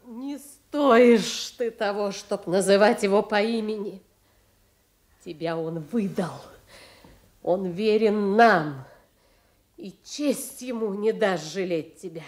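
An actress plays a cruel highborn woman in a classical radio tragedy. She speaks slowly at a middling pitch, coldly and full of scorn. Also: darkish tone, lightly acted. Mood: scornful. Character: cruel, cold, scornful noblewoman.

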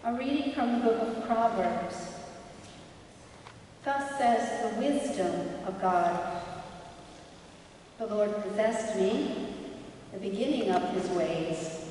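A woman serving as lector, reading scripture slowly and carefully at a lectern microphone in a church sanctuary. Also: medium pitch, warm tone, slight background noise. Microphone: lectern mic, far camera. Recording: echoey church from far off.

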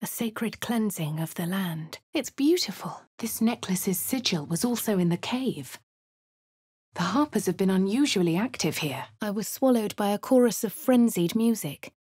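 Posh English adventurer. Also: female voice, mid-range voice, animated, moderate pace, no room sound. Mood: measured. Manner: crisp refined delivery, shouts spell incantations. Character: posh young adult female adventurer. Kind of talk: game character voice lines and narration. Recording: dry studio voice booth.

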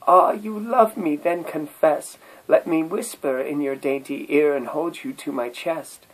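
A Scottish man voicing a lovesick male turtle who pleads in a high, sing-song voice. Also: measured pace, rough recording. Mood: coaxing. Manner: imploring, sing-song, rising to a high wail.

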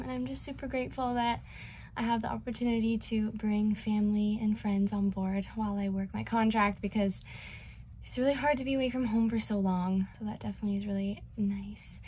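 A woman's voice: mid-range voice, darkish tone, slight background noise. Chatty young woman vlogging from her cabin. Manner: bubbly, chatty, quick asides. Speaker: cruise ship performer. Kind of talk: casual vlog chat to camera. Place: small ship cabin, indoors. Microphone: phone camera at arm's length.

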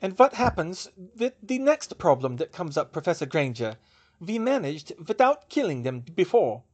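A stern older witch, as a male narrator puts her on, speaking high and sharply. She is an unyielding official in a fantasy storybook. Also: sing-song, normal speed, darkish tone, husky, highs cut off.

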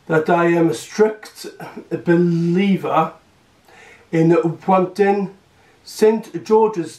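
Halting man, roomy distant sound. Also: sits high, darkish tone. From Wales. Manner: halting, many fillers and restarts, earnest. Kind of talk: casual vlog speech to camera. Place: small room at home, indoors. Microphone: camera across the room. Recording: echoey room, distant camera mic.

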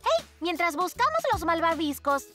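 A woman's voice: very high-pitched, very animated, normal speed, over music. A woman voicing a cheerful, adventurous, kind little girl in a children's cartoon. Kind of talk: animated children's cartoon dialogue.

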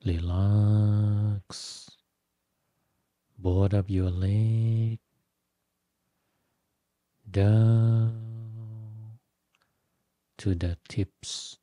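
Casting: male meditation guide, low-pitched and very mellow, gentle short instructions, unhurried with long pauses. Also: level tone, no room sound, studio quality. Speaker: Buddhist monk, meditation teacher.

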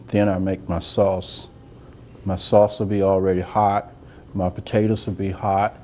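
Middle-aged man, chef host, soft-spoken and rambling, low mellow voice, faint hiss behind. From Georgia, US. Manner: soft-spoken, rambling and homey, talks while working. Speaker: chef and cooking show host. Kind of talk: unscripted cooking demonstration. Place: indoor demo kitchen set.

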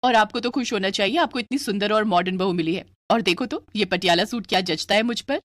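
A confident young daughter-in-law from an animated family story, as a woman acts her out. She is modern and sweet, with plenty of self-assurance. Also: medium pitch, fast, no room sound, natural voice. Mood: self-assured. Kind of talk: animated moral story dialogue.

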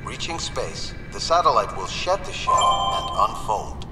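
An actor playing a formal narrator of a launch instructional video in a game, explaining in scripted sentences. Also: male voice, medium pitch, sing-song, a little slow. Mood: formal, explanatory. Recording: distant, echoey hall under loud music.

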